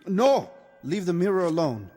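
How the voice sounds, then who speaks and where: An actor playing a conscience-stricken revolutionary officer, sardonic and brooding, in a mid-century television drama. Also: male voice, high voice, sing-song, moderate pace, dark tone, husky, exaggerated voice. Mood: challenging. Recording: old TV soundtrack, reverberant, music behind.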